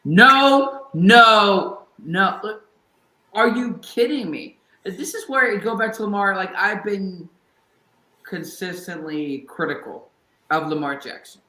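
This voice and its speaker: Fired-up high-voiced sports-talk man. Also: lively intonation, slow pace, echoey room, poor audio. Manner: fired-up ranting, bursts into shouts. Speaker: podcast co-host.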